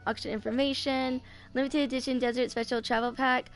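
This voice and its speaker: A woman's voice: sits high, fairly even intonation, brisk. A woman in her twenties casually commentating on a video game and reading on-screen text aloud. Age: 20s. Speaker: streamer playing a video game. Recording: game music behind the voice. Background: game music.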